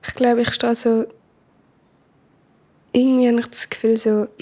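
A woman's voice: a little high, little pitch movement, unhurried, warm tone. A teenage girl with a Swiss German accent chats casually and tentatively in an interview about herself. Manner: tentative, unsure.

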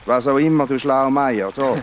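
A man's voice: mid-range voice, moderate pace, slight background noise, close to an everyday voice. A man with a Swiss German accent playing a greedy young monastery pupil who teaches in a savouring, schoolmasterly way in a children's audio drama. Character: greedy, smug young male monastery pupil giving lessons.

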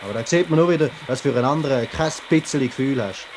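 An actor playing a pushy, plain-spoken factory worker with a Swiss German accent in a 1950s radio play, nagging in colloquial speech. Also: male voice, mid-range voice, quick pace, lightly acted, poor audio. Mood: indignant. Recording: old radio recording with background noise.

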